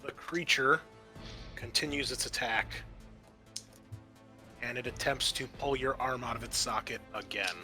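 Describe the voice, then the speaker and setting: A man's voice: mid-range voice, measured pace, long pauses, pushed and bright. A man acting as game master, narrating scenes and explaining rules in a conversational way over background music. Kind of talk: narrating and ruling a tabletop roleplaying game.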